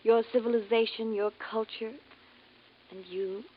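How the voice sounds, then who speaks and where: Earthwoman space explorer, highish voice, earnest, tender, vintage radio drama, muffled. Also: steady tone, measured pace. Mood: tender, curious. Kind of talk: 1950s radio drama acting.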